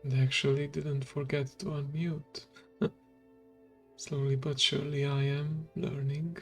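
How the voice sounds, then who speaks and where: Man, native Hungarian speaker, husky whispery voice, faint music behind. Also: a little high, level tone. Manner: slow, hushed and gravelly, drifting into whisper. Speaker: VTuber streamer. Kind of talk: soft-spoken ASMR stream.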